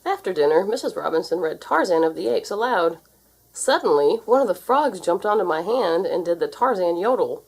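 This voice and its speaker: A woman reads a children's storybook aloud in an expressive, theatrical narrating style. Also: lowish voice, brisk, gravelly, breathy edge, low-quality recording. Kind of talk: animated read-aloud narration.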